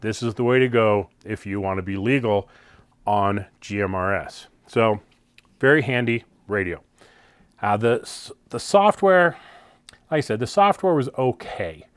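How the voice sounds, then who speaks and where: A man's voice: on the low side, lively intonation, measured pace, clear, resonant tone, no echo. A man with an American accent giving a casual, unscripted gear review in a plain-spoken, conversational way. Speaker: product reviewer, channel host.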